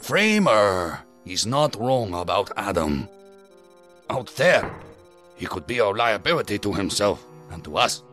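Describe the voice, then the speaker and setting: A stern zealot leader in a sci-fi radio play, solemn and preachy. His low, forceful voice swings widely in pitch as he commands. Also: very animated, unhurried, soft background music. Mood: grave. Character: stern, zealous male leader of a secret faith group. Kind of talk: science fiction audio drama acting.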